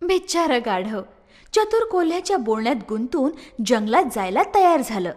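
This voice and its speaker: A woman narrating a scripted story for children in an expressive storytelling style. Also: sits high, moderate pace, big-room sound.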